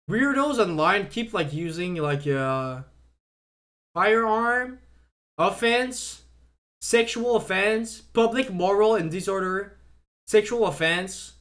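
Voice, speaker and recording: A man's voice: sits high, a bit bright. A young man whose first language is French, talking casually on a live stream, rambling with lots of fillers and stumbling restarts.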